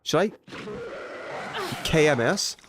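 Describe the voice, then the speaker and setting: A man doing casual, excitable gaming commentary, thinking aloud in slang as he chats with stream viewers. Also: fairly high pitch, takes it slowly, noisy background. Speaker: video game livestreamer.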